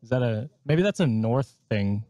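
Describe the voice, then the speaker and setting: Mellow-voiced man chatting. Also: mid-range voice, expressive, normal speed, dry recording. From Canada. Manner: chatty and hedging, trails off. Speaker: podcast co-host. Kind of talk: casual chat.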